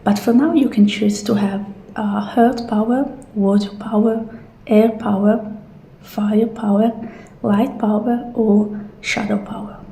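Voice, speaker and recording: A woman doing a soft, partly whispered ASMR roleplay as a gentle attendant walking a client through options. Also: mid-range voice, fairly even intonation, slow pace, vocal fry. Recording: roomy echo, faint background noise.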